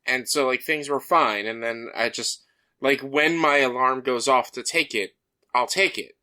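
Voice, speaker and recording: A man with a mid-pitched, bright voice talks loosely on a podcast, leaning on fillers as he goes. He also runs through the agenda for the episode. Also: a little slow. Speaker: podcast co-host. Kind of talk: casual chat.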